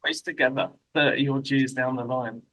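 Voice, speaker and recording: A man with a London accent hosting an interview, chatty and self-deprecating with quick asides. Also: medium pitch, steady tone, sharp tone, low-quality recording. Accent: London English. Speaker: host. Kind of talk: casual interview chat. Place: lives in Mexico.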